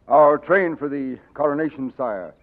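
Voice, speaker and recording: A man's voice: fairly high pitch, moderate pace, natural voice. Blunt old-radio colonel played by a man, tinny. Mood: wary, astonished. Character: gruff, wary colonel in a king's service. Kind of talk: 1940s radio drama acting.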